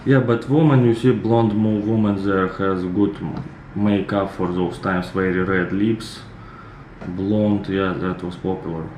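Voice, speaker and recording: A man in his thirties with a Russian accent chats about a film clip in a loose, rambling way, full of fillers. His voice sits low and his delivery is unhurried. The room is echoey, with a steady noise in the background. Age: 30s. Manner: relaxed, rambling, many fillers. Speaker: reaction video host. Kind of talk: casual commentary.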